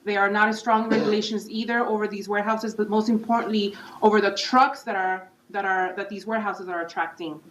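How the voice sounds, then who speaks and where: A woman's voice: medium pitch, steady tone, fairly quick, few pauses, noisy background. A woman community organizer giving an urgent, earnest public comment at a meeting. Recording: roomy, distant, dull hall mic.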